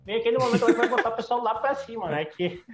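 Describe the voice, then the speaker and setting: A man's voice: very high-pitched, expressive, fast talker, loud music behind the voice. A man with a southern Brazilian accent hosting a podcast, chatty and teasing with frequent tag questions. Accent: Southern Brazilian (Rio Grande do Sul). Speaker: podcast host. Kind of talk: casual podcast chat. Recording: slightly dull lo-fi audio.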